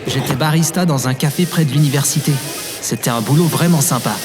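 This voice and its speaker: An actor playing an easygoing, forgetful, cheerful young barista in an audio drama, with a casual, good-natured manner. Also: male voice, on the high side, brisk, music in the background, close to an everyday voice. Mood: reminiscing. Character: Timo, easygoing, forgetful, cheerful young male barista telling his past. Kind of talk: audio drama acting and informal storytelling.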